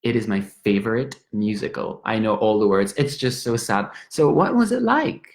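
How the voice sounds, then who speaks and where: A woman's voice: a bass voice, expressive, moderate pace, roomy sound, voice pushed down. A man doing a gushing, bubbly girl. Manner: bubbly, breathless, over-eager. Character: gushing young Irish woman in a pub.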